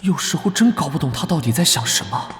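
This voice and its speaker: An actor playing a guarded, prickly young knight vice-captain who speaks curtly and earnestly, in an animated drama dub. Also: male voice, fairly high pitch, quick pace, slight background noise. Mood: wary. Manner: curt, wary and earnest. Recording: background music under dialogue.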